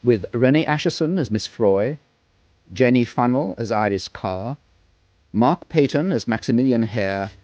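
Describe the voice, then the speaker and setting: A man's voice: mid-range voice, lively intonation, a little slow, darkish tone, dry recording, lo-fi sound. A man announcing a radio drama in a scripted, formal announcer's style.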